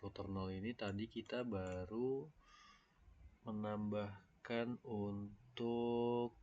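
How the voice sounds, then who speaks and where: A young Indonesian man with a cold, talking through a screen-recorded software tutorial in a halting, thinking-aloud way, stopping for fillers while he types. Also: fairly low pitch, steady tone. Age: young adult. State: sick with a cold. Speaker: student. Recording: dull lo-fi mic, faint background noise.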